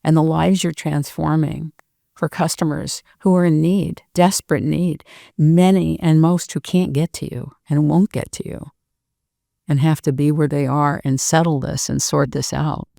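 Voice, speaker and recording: Interviewer with a gravelly mellow voice, long admiring sentences, dry sound. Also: mid-range voice, fairly even intonation, measured pace, no room sound. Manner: warm, admiring, speaks in long flowing sentences. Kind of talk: conversational interview.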